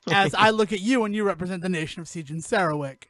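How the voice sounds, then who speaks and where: A man's voice: high-pitched, fast, a bit bright, no echo. An American man chatting casually on a podcast, informal and opinionated. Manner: opinionated, informal, swears freely. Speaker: podcast host.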